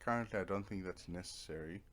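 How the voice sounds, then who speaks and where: A man's voice: low voice, quick pace, fairly bright tone. A man giving casual, rambling let's play commentary, thinking aloud as he goes. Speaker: gamer narrating own playthrough.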